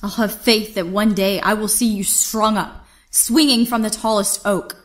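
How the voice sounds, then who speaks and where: An actress playing a defiant, idealistic young niece in an audio drama, earnest and indignant. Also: female voice, mid-range voice, steady tone, unhurried, natural voice.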